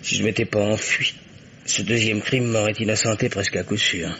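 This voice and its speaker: Anxious low-voiced fugitive, hissy recording. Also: male voice, fairly even intonation, fairly quick, pushed and bright. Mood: grateful, despondent. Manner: hesitant, protesting, troubled. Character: anxious, loyal man on the run, wrongly accused. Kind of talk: old French radio mystery drama acting. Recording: hissy old radio recording.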